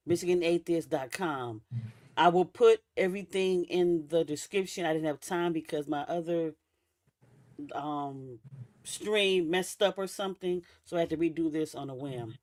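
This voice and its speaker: A woman hosting a casual livestream. She is chatty, laughs easily and drops in quick asides while she talks. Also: low voice, a little mellow. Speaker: livestream host.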